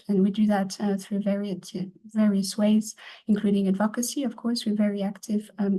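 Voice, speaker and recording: A woman's voice: mid-range voice, mellow, poor audio. A woman representing a patient organization, presenting formally on a webinar with frequent fillers. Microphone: video call.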